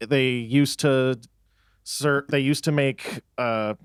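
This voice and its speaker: A man's voice: mid-range voice, steady tone, slow, clean tone, dry recording, studio quality. A young man from Texas with an American accent, chatting casually and wryly in a band interview. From Texas, United States. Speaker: band musician being interviewed. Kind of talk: casual chat.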